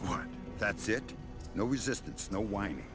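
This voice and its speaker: An actor playing a sardonic, menacing covert agent in a video game, with dry deadpan delivery. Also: male voice, on the low side, normal speed, music in the background, lightly acted. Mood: mocking, threatening.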